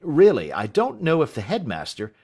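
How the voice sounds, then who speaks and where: Brief: male reader as a fussy, exclaiming schoolmaster, pitched up, lively swings. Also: moderate pace, husky, exaggerated voice. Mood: eager. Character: eager excitable adult male schoolmaster.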